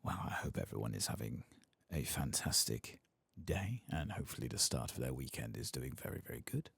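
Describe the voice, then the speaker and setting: A very deep male voice full of heavy creak, murmuring ASMR game commentary close to the mic. He takes his time and often slips into a whisper. The sound is dry and intimate. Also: sing-song, a little fast, a bit bright, no echo. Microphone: close microphone.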